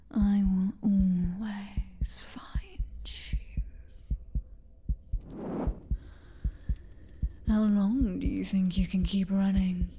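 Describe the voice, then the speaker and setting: A woman playing a cruel, possessive vampire mistress in an ASMR roleplay, with a British accent and a breathy, taunting whisper. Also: mid-range voice, warm tone, a little hiss or hum. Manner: slow, drawn-out, taunting endearments.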